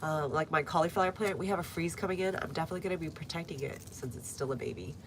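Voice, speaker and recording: Stuffy-nosed low-voiced woman outdoors. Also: racing, slight background noise. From United States. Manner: chatty, reads viewer comments aloud, frequent fillers. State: stuffy nose. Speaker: gardening livestreamer. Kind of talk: casual live-stream chat. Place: outdoor front garden.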